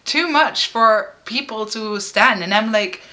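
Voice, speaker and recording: Bright-voiced woman, halting and emotional. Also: a little high. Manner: halting and emotional, frequent fillers and restarts. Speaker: artist and musician. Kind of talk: personal interview.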